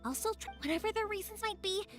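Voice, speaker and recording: An actress playing a cheerful, chatty young fireworks maker in an anime video game, upbeat and reassuring. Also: female voice, very high-pitched, animated, quick pace, over music. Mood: proud, reassuring.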